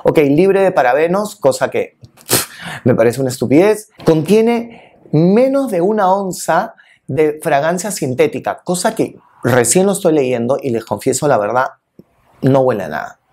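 A man's voice: a little high, animated, moderate pace, a little mellow. A man in his early fifties with a Peruvian accent, chatting expressively and familiarly to viewers in a casual makeup review. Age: early 50s. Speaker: beauty vlogger and makeup reviewer. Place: indoor room, filmed seated in front of a plain wall. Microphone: lapel mic.

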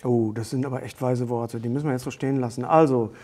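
A man's voice: mid-range voice, fairly even intonation, fast, warm tone. A man around his forties hosting a talk show in a casual, chatty style. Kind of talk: casual chat. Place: small studio set, indoors, at a table.

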